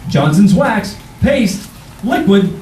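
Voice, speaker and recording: A man playing a friendly, persuasive pitchman in a scripted 1940s-style radio advertisement. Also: expressive, takes it slowly. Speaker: commercial pitchman. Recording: roomy distant stage pickup, faint noise.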